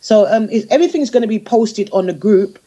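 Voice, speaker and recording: A woman talking casually as a radio show guest, informal and halting, often repeating words. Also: mid-range voice, lively intonation, dark tone, husky. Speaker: guest, music group organiser. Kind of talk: casual radio interview talk.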